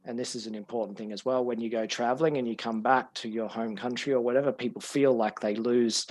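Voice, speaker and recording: An Australian man hosts a relaxed podcast conversation in a voice of middling pitch. He poses long, thoughtful questions and reflects on what his guest says. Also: fast talker. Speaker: podcast host. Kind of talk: casual interview chat.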